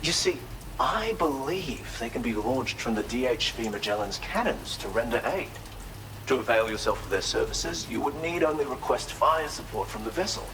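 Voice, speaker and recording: Video game role: male actor as eccentric self-taught healer, theatrical and formal, very lively pitch, clear rain-like noise behind. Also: moderate pace, lightly acted. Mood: earnest, explanatory. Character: eccentric, courteous male tar therapist, enthusiastic self-taught researcher. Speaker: non-player character in a video game. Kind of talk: expressive video game character dialogue.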